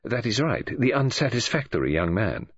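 A prim, fussy older detective, as a male narrator puts him on, precise and measured in every phrase. His voice is a little husky, recorded dry and somewhat dull. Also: mid-range voice, big pitch swings, no echo.

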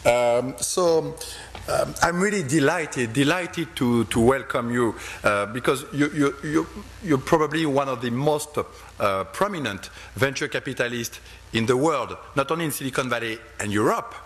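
A man's voice: mid-range voice, lively intonation, moderate pace, a bit bright. A man with a French accent, hosting a live interview at a conference, speaking in an effusive, stammering way with many repeated words. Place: conference hall.

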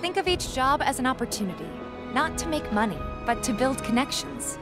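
A kind, protective young American game heroine. Her voice sits fairly high and moves freely up and down. She speaks over game music. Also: sing-song, a little fast. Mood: friendly, encouraging. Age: young adult. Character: kind, upbeat young woman from the slums, protective. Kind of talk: video game cutscene acting.

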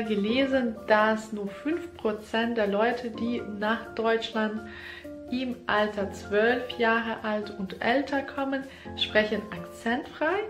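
A woman's voice: on the high side, reverberant. A young woman who is not a native German speaker, talking earnestly to the camera about her own experience as a German teacher. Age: young adult. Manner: earnest, slightly non-native phrasing, speaks with hands. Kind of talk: casual talk to camera. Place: small room, indoors. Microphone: camera in front, head-and-shoulders framing.

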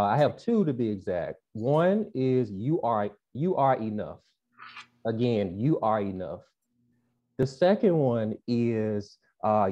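A man's voice: medium pitch, mellow, dull audio. Earnest young Southern man on a video call. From Mississippi, US South. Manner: earnest, frequent "uh" and "um" fillers. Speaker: featured panel speaker. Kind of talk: video call panel talk. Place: small room indoors. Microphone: earbuds on a video call.

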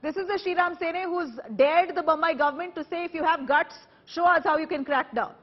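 A woman's voice: very high-pitched, brisk, lo-fi sound. A woman news anchor in her thirties with an Indian accent, putting assertive, confrontational questions in a TV debate. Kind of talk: debate moderation, challenging questions. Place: TV news studio.

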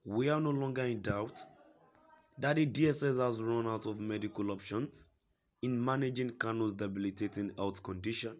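A man reading a news report aloud in a steady, formal way, quoting a statement at length. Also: mid-range voice, normal speed. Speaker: news reader.

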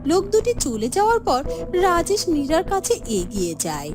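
A woman tells a fairytale as a scripted cartoon narrator. Her voice is high and lively in its rise and fall, with music playing behind. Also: moderate pace. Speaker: storyteller, narrator.

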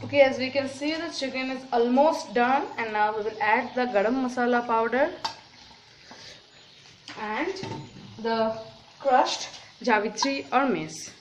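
A woman whose first language is Bengali, calmly walking through a home cooking tutorial with frequent "okay, so" fillers, at her kitchen stove. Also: a little high, unhurried, pauses often. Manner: calm, instructive, many "okay, so" fillers. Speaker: cooking video host. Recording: home recording with clear kitchen noise. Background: clinking pots, pans and cutlery.

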